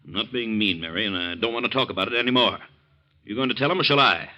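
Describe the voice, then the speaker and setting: Crabby middle-aged rancher, lowish pitch, brisk and curt, old-time radio drama. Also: male voice, pushed and bright. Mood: stern, unyielding. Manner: curt, complaining, emphatic. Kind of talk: 1940s radio acting.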